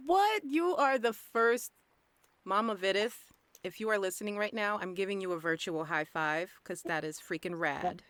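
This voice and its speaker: A woman's voice: medium pitch, lively intonation, moderate pace, fairly bright tone, no echo. Young adult female host, bubbly and giggly, reads listener questions, casual. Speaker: interviewer, host. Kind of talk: casual interview chat.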